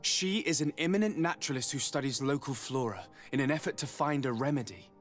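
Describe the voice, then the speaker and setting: An actor playing a formal, diplomatic noble envoy in a video game, speaking in a courteous, measured way. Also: male voice, medium pitch, lightly acted. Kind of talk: video game cutscene acting. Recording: background music under the voice.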